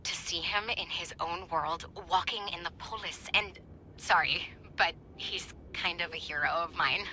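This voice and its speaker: An enthusiastic young researcher in a game cutscene, eager and gushing about history. Her voice is very bright yet pitched slightly low. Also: measured pace, a little hiss or hum, rough recording. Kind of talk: video game cutscene acting.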